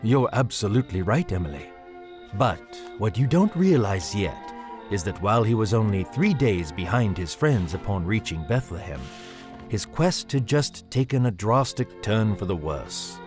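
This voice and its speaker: A man's voice: on the low side, sing-song, normal speed, over music. A man playing a patient father telling a story to his children in an audio drama, in articulate phrasing. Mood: patient, engaged.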